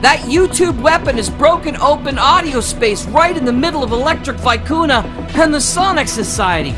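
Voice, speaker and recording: An anxious woman crew member in a sci-fi audio comedy, as an actress plays her. Her voice is extremely high and urgent, set over prominent music. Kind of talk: audio drama acting.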